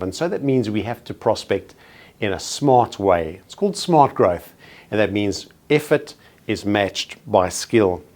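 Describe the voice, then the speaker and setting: A man with a South African accent coaches real estate agents in a motivational training talk. His voice sits a little low, and he drives home each point with short paired contrasts, slowing on the key words. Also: darkish tone.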